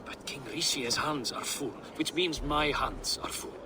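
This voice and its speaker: An actor playing a cautious, burdened old Norse warrior in a dramatic video game. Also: male voice, a little high, unhurried, noisy background, lightly acted. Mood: wary, troubled.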